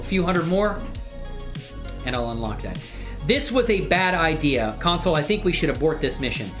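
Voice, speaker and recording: A man live streaming while he works on 3D models, chatting loosely with viewers in a rambling, self-deprecating way. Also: fairly high pitch. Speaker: live streamer doing 3D work. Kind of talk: casual live-stream chat while working.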